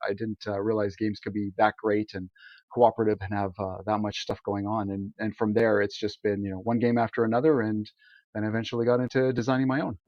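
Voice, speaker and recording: A man's voice: lowish voice, level tone, fairly quick, no room sound, lo-fi sound. A man speaking casually as a guest on a podcast about his hobby. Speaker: podcast guest, board game designer. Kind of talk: casual chat.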